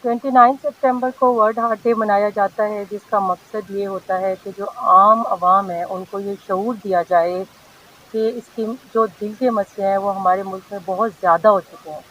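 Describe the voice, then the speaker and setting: A woman speaks at an average pitch, measured and a little hesitant. Faint outdoor noise sits behind her. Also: fairly even intonation, a bit bright, low-quality recording. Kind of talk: public health statement.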